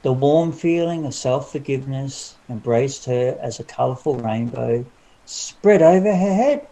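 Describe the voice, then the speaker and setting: A man's voice: mid-range voice, a little slow, mellow, low-quality recording. A man narrating a fairy-tale audiobook in a dramatic, scripted storytelling style. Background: music.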